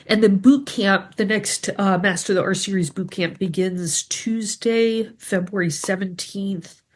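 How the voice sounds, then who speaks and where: A woman's voice: mid-range voice, a little slow. A woman with a stuffy, congested voice from a cold, hosting a members' video call about grant writing and chatting through updates with many ums. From New England. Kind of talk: casual video call briefing.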